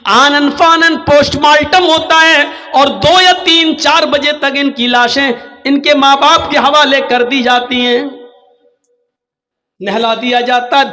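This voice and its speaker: Guy preaching islamic sermon, really high sharp voice, dramatic storytelling, declaims everything. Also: fairly even intonation, takes it slowly. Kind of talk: impassioned religious sermon told as a cautionary story.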